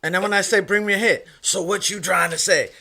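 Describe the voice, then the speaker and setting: A woman with a New York accent and a deep, authoritative way of talking, speaking emphatically and repeating phrases for stress in a casual podcast conversation. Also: fairly even intonation, fairly quick, bright, forceful tone, breathy edge. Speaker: music industry guest.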